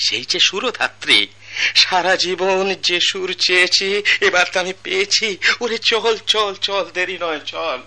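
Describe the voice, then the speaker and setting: Ageing music guru, anguished, fervent repetition, very bright tense voice, Bangladeshi accent, old-fashioned radio drama. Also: male voice, high-pitched, a little slow, heavily acted. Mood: urgent, ecstatic. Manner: fervent, repetitive, swelling theatrical delivery. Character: anguished ageing master musician, a doting father.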